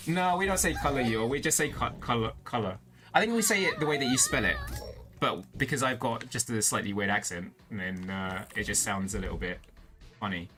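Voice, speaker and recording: A young British man with a slight American tinge to his accent talks casually to his stream at a middle pitch. He rambles through fillers and restarts and laughs often. Music plays clearly under his voice. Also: animated, fairly quick. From Britain. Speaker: VTuber streamer. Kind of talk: casual chat with stream viewers. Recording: music playing behind the voice.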